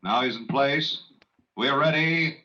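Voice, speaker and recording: Male vintage racetrack caller: brisk, bright, forceful, medium pitch, muffled radio sound. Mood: keyed-up. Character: brisk male horse race track announcer. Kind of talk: 1940s radio race commentary.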